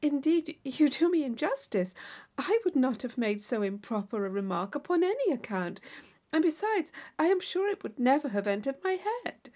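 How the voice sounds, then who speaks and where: Woman voicing an earnest, high-pitched young innocent. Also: very animated, normal speed, a little mellow. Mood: earnest protest. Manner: artless, earnest protesting. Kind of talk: audiobook character voice, period novel.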